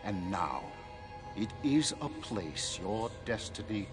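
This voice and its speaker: Wise old master, grave measured delivery, low voice, loud music behind. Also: male voice, very animated. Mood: solemn. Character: solemn wise old male Jedi master spirit. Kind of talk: game cutscene acting. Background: cinematic music.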